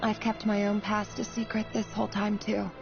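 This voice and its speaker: A woman's voice: mid-range voice, monotone, moderate pace, vocal fry, natural voice. An actress playing a conflicted but resolute young woman with a hidden past, speaking earnestly in a dramatic video game style. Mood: pensive, sorrowful. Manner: earnest, theatrical. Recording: slightly dull game audio with music.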